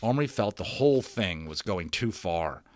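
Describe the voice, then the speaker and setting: Male storybook reader, lowish pitch, highly expressive intonation, theatrical, informal asides. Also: normal speed, no echo, studio quality. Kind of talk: dramatic read-aloud narration of a children's novel.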